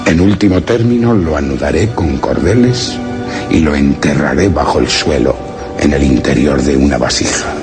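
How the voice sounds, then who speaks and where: An actor playing a solemn, anxious chronicler in a radio horror drama, grave and formally literary, his phrase endings turning breathy. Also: a bass voice, moderate pace. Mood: solemn, confiding. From Spain. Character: solemn, anxious male chronicler of a mysterious encounter. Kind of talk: radio horror drama, first-person narration of a written account. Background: music.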